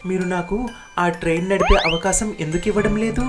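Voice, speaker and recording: కార్టూన్ నీతి కథలో నిజాయితీగా, వినయంగా మాట్లాడే నడివయసు రైలు డ్రైవర్ పాత్రకు గొంతు ఇస్తున్న స్త్రీ. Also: female voice, lowish voice, brisk, over music, pitched above the performer's own voice, natural voice. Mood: disappointed. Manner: respectful, earnest. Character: earnest, polite, eager middle-aged male train driver. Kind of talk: animated moral story dialogue.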